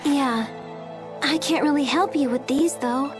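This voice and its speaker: A high-pitched, perky teenage girl, caring and friendly. She talks casually, like a companion in an anime game, with faint music behind. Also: a little slow. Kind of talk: anime game dub acting.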